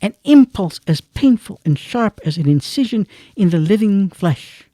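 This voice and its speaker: An actor playing a grave storyteller, narrating a radio drama in measured, declamatory phrases. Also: male voice, high voice, lively intonation, warm tone, dry recording. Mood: solemn. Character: grave literary storyteller narrating a moral tale.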